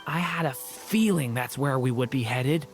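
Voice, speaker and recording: A woman voicing an earnest, polite young boy in a fantasy game, gently serious and determined. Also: rumbling low, normal speed, soft background music. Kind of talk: animated fantasy game dialogue.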